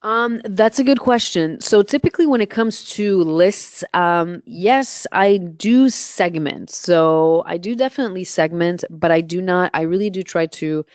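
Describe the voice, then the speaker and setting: A woman's voice: lowish voice, a little slow, few pauses, darkish tone, no room sound. Woman with a dry radio-style voice. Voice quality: voice suited to radio. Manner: practical, explains in steps with self-corrections. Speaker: guest expert answering questions. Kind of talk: live webinar Q&A.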